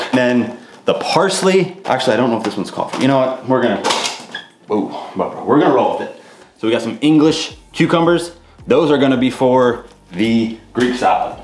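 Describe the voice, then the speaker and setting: A young man's mid-range voice rambles casually through a grocery haul, stopping often for fillers. He is recorded on a camera mic in a bare home kitchen. The room echoes, and steady background noise sits under everything he says. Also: medium pitch. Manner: loose and rambling, many fillers like "uh" and "like". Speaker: fitness vlogger.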